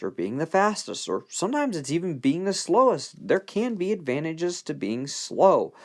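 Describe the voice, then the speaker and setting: A man teaching biology in an informal recorded lecture, talking in a conversational, folksy way with joking asides. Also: mid-range voice.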